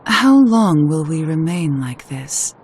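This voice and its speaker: Low-voiced, proud, resolute witch. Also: animated, a little mellow, husky, heavily acted. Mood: somber, defiant. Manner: formal, measured, archaic phrasing. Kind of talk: scripted video game voice acting.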